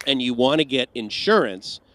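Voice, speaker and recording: A man's voice: mid-range voice, lively intonation, moderate pace, fairly bright tone, no echo. A man co-hosting a livestream Q&A, chatting casually and rambling with asides and restarts. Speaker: livestream co-host. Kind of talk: casual Q&A chat.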